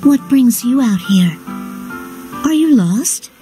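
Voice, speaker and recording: A woman playing a gentle, caring young adventurer in a video game, earnest, polite and composed. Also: fairly high pitch, animated, slow pace, over music. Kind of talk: video game character acting.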